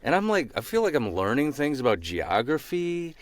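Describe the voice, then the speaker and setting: A man's voice: mid-range voice, big pitch swings, moderate pace. A man co-hosting a podcast, putting questions to a guest in a casual chat. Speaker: podcast co-host, interviewer.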